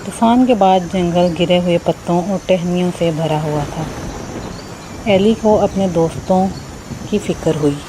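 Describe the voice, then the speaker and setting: A woman's voice: on the low side, measured pace, background noise. A woman reading scripted children's story narration.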